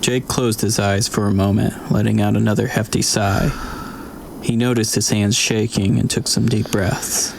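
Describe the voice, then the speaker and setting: A man narrating an audiobook in a measured storytelling manner. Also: fairly low pitch, flat delivery, vocal fry. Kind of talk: scripted narration. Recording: noisy recording with ambience.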